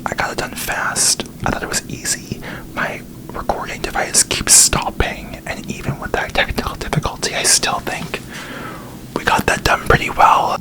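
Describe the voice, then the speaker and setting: A man whispers in a casual ASMR chat addressed to his viewers. He is candid and a little self-deprecating, keeping his voice hushed. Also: low-quality recording. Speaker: ASMR video creator.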